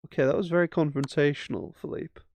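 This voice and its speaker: A man's voice: a little high, fast talker, darkish tone. A man casually commentating his own chess games as he plays, thinking aloud with self-mocking asides. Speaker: chess streamer.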